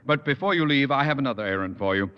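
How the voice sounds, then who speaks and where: A man's voice: medium pitch, expressive, fast talker, clear, resonant tone, close to an everyday voice. A man playing a blustering sarcastic principal. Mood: pompous. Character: pompous exasperated middle-aged school principal. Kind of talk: 1950s radio sitcom acting. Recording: dull old radio broadcast with reverb and faint hiss.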